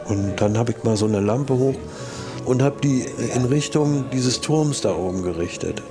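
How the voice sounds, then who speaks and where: An older man reminiscing in a documentary interview, halting as he repeats and rephrases. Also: medium pitch, over music. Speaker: studio eyewitness interviewee, sound engineer.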